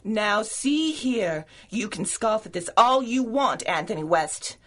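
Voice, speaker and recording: A woman's voice: medium pitch, lively intonation, takes it slowly, fairly bright tone. A woman playing a feisty, devoted secretary in a 1930s-style radio drama, earnest and theatrical in delivery. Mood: worried scolding.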